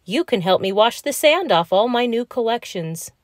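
A woman's voice: a little high, lively intonation, normal speed, no echo, clean studio sound, pitched above the performer's own voice, close to an everyday voice. A woman voicing a practical, bossy young male crocodile, a skeptical friend in a children's storybook, speaking emphatically. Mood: boastful.

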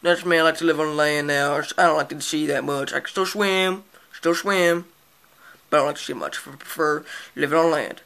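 A man voicing an easygoing male manatee who prefers life on land, speaking in a slow, drawling manner. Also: a little high, steady tone, sharp tone. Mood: goofy. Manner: slow, drawling, gravelly delivery.

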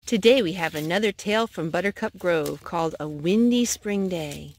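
A woman hosting storytime, reading aloud to young children in simple, measured phrases. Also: lowish voice. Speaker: storytime host and narrator.

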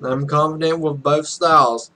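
A man's voice: a little high, fairly even intonation, normal speed, sharp tone. A young man hosting a let's play, reading game text aloud with casual, irreverent, sweary asides. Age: young adult.

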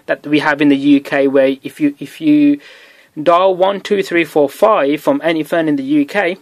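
Male tech host, easygoing how-to delivery, dry room, fairly high voice. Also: normal speed, no echo. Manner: relaxed, chatty, many fillers. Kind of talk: casual phone tutorial. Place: indoors at a desk.

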